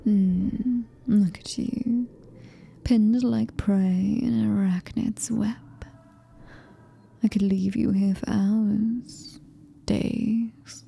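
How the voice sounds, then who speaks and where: A woman performs a predatory alien-human hybrid female in a horror ASMR roleplay, speaking very slowly in a British accent. Her voice is dark and soft, with a creaky edge, sliding into breathy whispers. She is possessive, seductive and quietly commanding. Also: mid-range voice, fairly even intonation, heavily acted. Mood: predatory, gloating.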